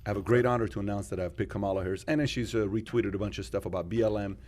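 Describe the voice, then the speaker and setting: A man's voice: on the low side, steady tone, rapid-fire, a little mellow. A man from Iran who later lived in Germany and America, hosting an opinionated podcast discussion with rhetorical questions and anecdotes. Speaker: podcast host.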